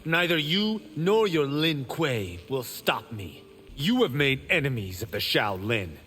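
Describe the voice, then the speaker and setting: A man's voice: mid-range voice, big pitch swings, a little slow, a bit bright, in a large hall, slight background noise. An actor playing a cocky, witty young male monk fighter in a video game, wry and joking in manner. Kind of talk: video game character voice acting.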